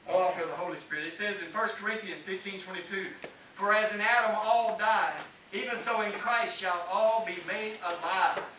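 A man's voice: sits high, normal speed, pushed and bright. A middle-aged man preaching to a small congregation, fervent and calling for amens, recorded by a distant camera. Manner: fervent, rising and falling, calls for amens. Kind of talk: Pentecostal-style sermon. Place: small church hall with congregation. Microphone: far camera, no mic seen. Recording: echoey room from far off with faint hiss.

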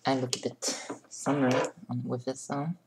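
A hoarse young man chatting casually to his webcam in a small bedroom, rambling and clearing his throat. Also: medium pitch, level tone, moderate pace, noisy background. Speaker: vlogger. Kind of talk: casual makeup vlog chat. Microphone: webcam close up.

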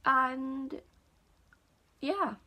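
Young female vlogger, high register, croaky today, slow unhurried chat full of ums, filmed close in a small room. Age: young adult. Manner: chatty, many ums, relaxed storytelling. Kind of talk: casual talk to camera.

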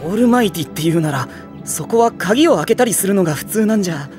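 A man's voice: high voice, lively intonation, quick pace. Hesitant teenage boy: mild, understated anime audio drama delivery over music. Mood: puzzled, mild.

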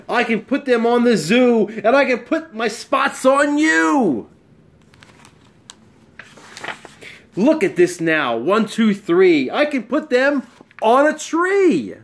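A man's voice: high-pitched, a bit bright, heavily acted. A man voicing an eager spotted animal in a storybook, boastful and pleading as it shows off. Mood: proudly showing off. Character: eager spotted animal showing off magic tricks. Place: small bright room at home.

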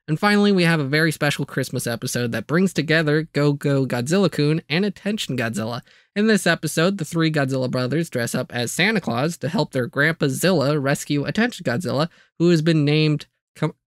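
A man with a fairly high voice narrates a video essay in a casual, comedic way. He leans on playful exaggeration and dry-humored asides, and the sound is close and dry, like a voice-over mic. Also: no room sound. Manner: jokey, exaggerated mock horror and asides. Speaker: video reviewer.

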